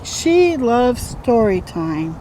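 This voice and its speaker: A woman's voice: fairly high pitch, big pitch swings, drawn-out words, mellow, a little hiss or hum, exaggerated voice, rough recording. A woman voicing an eager young schoolgirl who loves her dog, exclaiming and coaxing in a children's storybook.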